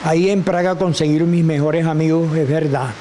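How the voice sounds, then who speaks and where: An older man with a Venezuelan accent, a guest telling animated stories and acting out the voices of people he quotes. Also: on the high side, normal speed, slight background noise. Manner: expressive, acts out quoted voices, laughs often. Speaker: guest, actor and teacher. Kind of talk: animated storytelling.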